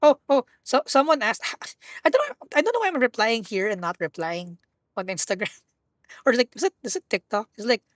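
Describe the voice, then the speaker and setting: Husky woman chatting on a live stream. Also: on the high side, animated, fairly quick, no room sound, studio quality. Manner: rambling, self-correcting, playful. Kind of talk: casual live-stream chat while gaming.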